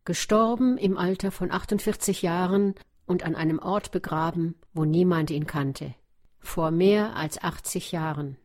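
A woman's voice: on the low side, a little slow, darkish tone. A woman reading scripted narration for a radio documentary.

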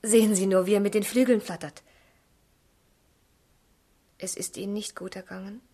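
A woman playing a gentle young lady in a literary radio play. Her voice is medium-pitched, soft and very breathy. She sounds caring yet restrained. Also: fairly even intonation, normal speed, lightly acted. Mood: tender, restrained. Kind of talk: German literary radio drama.

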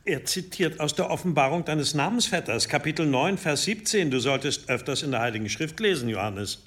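An actor playing a commanding, secretive abbot in a radio drama, with an authoritative, urgent manner. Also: male voice, fairly high pitch, lively intonation, a little fast, fairly bright tone, husky. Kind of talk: German radio drama acting. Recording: studio radio drama with music underneath.

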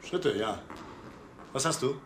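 An actor playing a lawyer's familiar, blunt friend in a radio drama, brisk and frank. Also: male voice, mid-range voice, lively intonation, noisy background. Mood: casual. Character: breezy but blunt male friend of the lawyer.

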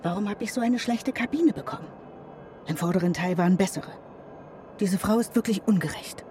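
A woman's voice: mid-range voice, brisk, slight background noise, lightly acted. A woman playing a fretful, fussy airship passenger. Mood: indignant. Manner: fretful, whispering inner monologue. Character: anxious, fussy woman traveling alone on an airship. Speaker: lead character. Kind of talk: radio play acting. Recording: radio play with loud music underneath.

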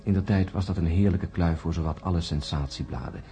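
A man playing a grim astronaut who narrates his ordeal in a radio drama, grave and measured. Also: low-pitched, mellow, soft background music, lightly acted. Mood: grave, reflective. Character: grim astronaut Peter recounting his ordeal and warning of doom. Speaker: narrator and main character.

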